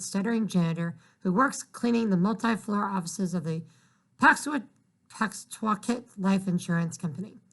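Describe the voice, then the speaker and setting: A man voicing an earnest, excitable young girl who eagerly explains things in a storybook. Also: high voice, vocal fry.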